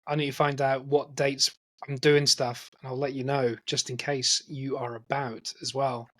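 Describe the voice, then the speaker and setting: A man's voice: medium pitch, level tone, moderate pace, fairly bright tone. A man, hosting a podcast and chatting in a rambling, self-deprecating way. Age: 40s. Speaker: podcast host. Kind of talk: casual chat.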